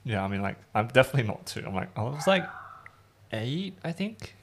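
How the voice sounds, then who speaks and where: A man's voice: mid-range voice, brisk, a little hiss or hum. A young man, born in Hong Kong and raised in Sydney, co-hosting a casual podcast and filling his talk with "like". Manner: animated, heavy use of "like". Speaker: podcast co-host. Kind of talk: casual chat.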